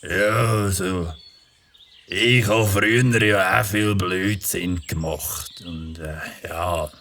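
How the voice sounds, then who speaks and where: An actor playing a gruff, grumpy but soft-hearted biker with a gravelly, halting delivery in a children's audio drama. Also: male voice, deep voice, steady tone, a little hiss or hum, heavily acted. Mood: gruff, awkward.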